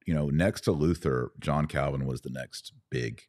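A man's voice: rumbling low, normal speed, a little mellow, no room sound, clean studio sound. A man hosting a podcast, talking conversationally about religion in a reflective, explanatory and agreeable way. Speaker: podcast host.

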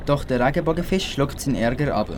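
A man's voice: medium pitch, expressive, quick pace, slight background noise. A teenage boy with a Swiss German accent, narrating a scripted amateur children's radio play.

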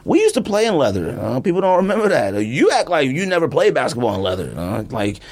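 A man's voice: fairly high pitch, huge pitch swings, fast talker, darkish tone, slightly rough. A man hosting a casual sneaker podcast, talking in an opinionated, teasing way. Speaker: podcast host.

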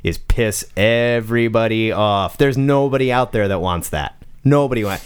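A man's voice: fairly low pitch, moderate pace, clean tone. A man co-hosting a comics podcast, chatting casually in a sarcastic, joking way. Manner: animated, sarcastic, jokey. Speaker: podcast co-host. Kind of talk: casual chat.

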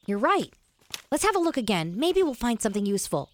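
A woman's voice: on the high side, sing-song, brisk. A woman playing a practical, sceptical young boy in a family audio drama. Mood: matter-of-fact.

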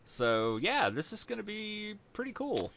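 A man's voice: high-pitched, a little slow, no room sound, lo-fi sound. A man hosting a podcast in casual chat, rambling with lots of fillers. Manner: rambling, relaxed, many fillers. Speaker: podcast host.

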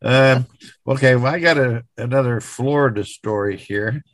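A man co-hosting a podcast, retelling news stories in an unhurried, folksy, casual way. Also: medium pitch, very noisy. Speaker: podcast co-host.

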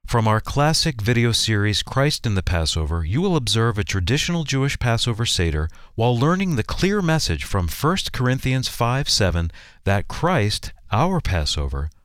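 A man's voice: medium pitch, very animated, normal speed, fairly bright tone, no echo, clean studio sound. A man working as an announcer, reading a scripted promotional narration for radio.

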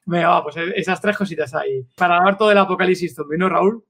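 A man hosts a casual podcast with an accent from Spain. His voice is high for a man and he talks quickly. He is effusive and jokey, repeating words as he goes. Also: fairly bright tone, slightly rough. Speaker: podcast host.

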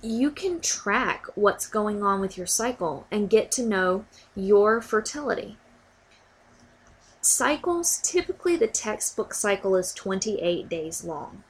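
A woman's voice: mid-range voice, animated, slow pace, husky. A woman hosting a podcast, talking casually and a little stuffy from a cold, often repeating words as she thinks. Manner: warm, informal, repeats words while thinking. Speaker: podcast host. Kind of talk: casual educational podcast talk.

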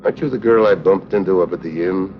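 Muffled vintage TV drama, man playing hard-boiled secret agent hero, low voice, sardonic, slangy. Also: quick pace, soft background music, close to an everyday voice. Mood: easygoing. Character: tough, wisecracking male American secret agent hero. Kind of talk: early 1950s television spy drama acting. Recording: muffled vintage 1950s TV soundtrack.

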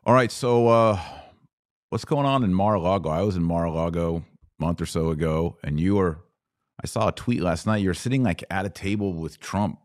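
A man's voice: low-pitched, normal speed, no room sound, clean studio sound. A man hosting a podcast, interviewing in a casual, conversational style. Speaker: podcast host.

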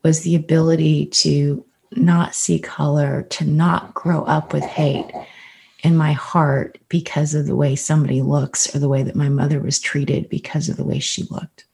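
Low-voiced woman telling family stories. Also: level tone, gravelly, darkish tone. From California. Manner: earnest and reflective, slows down to stress key points. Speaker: podcast guest, author. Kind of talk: personal storytelling in conversation.

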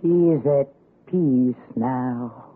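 Solemn backwoods ritualist, extremely slow delivery, laboured incantation, tinny old radio recording. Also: male voice, mid-range voice, heavy background noise. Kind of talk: 1960s radio suspense acting.

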